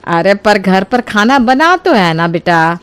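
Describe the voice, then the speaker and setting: A woman voicing a trusting, soft-hearted older mother-in-law in a cartoon moral story, with an earnest, expressive delivery. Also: mid-range voice, slow, clean tone. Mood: bewildered, questioning. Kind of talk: animated Hindi moral story dialogue. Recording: music behind the voice. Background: music.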